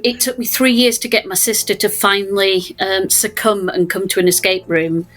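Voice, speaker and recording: A woman on a discussion panel, telling stories in a lively, self-deprecating way. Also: mid-range voice, low-quality recording. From Scotland. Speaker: escape room business panelist.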